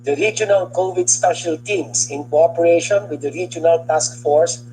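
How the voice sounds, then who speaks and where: A government official with a Filipino accent reads a formal briefing from a document, enunciating lists deliberately. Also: medium pitch, warm tone, rough recording. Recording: clear background noise and hum.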